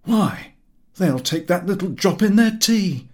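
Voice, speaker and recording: Casting: stout, fatherly storybook sea skipper, done by a male narrator; hearty, emphatic, lifted pitch, lively swings. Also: measured pace. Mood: indignant, reassuring. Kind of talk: audiobook character voice.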